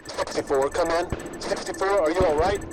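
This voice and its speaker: An actor playing a firm military commander in a shooter game, giving clipped, authoritative radio orders to troops. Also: male voice, sits high, normal speed, natural voice, poor audio. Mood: firm, urgent. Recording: loud music and battle noise behind.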